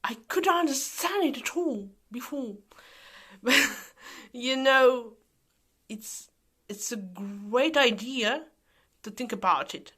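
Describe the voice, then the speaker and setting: A Russian-accented woman speaks to camera in an unhurried, thoughtful way. Her voice is bright and fairly high, and she takes her time over each phrase. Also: expressive, slightly rough. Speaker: vlogger. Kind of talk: talking to camera.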